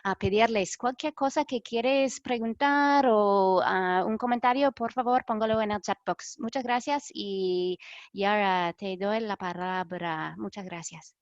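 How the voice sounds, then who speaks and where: A woman moderating a webinar, talking briskly with a few fillers as she hands over to the next speaker. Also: medium pitch, no echo, dull audio.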